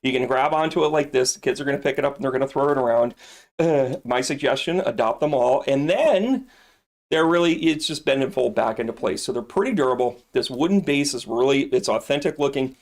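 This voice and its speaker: A man's voice: mid-range voice, animated, a little fast. Man, middle-aged, shop owner, live-stream host, joking, rambling, salesy. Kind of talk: casual product demo on a live stream.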